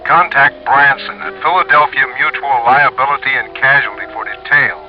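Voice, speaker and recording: A man's voice: medium pitch, normal speed, natural voice. Man acting terse telegram voice, muffled old radio. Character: male insurance man's voice reading out a telegram. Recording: muffled vintage radio, faint music.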